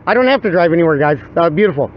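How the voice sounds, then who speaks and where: A man's voice: on the high side, expressive, very fast. A man with a strained, wearing voice, talking in an insistent, repetitive plea as he livestreams a dispute. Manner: insistent, pleading, repeats himself. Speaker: livestreamer filming own dispute with police. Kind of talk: agitated pleading to officers and viewers. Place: outdoors by a street with traffic. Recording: dull lo-fi outdoor recording, faint traffic noise.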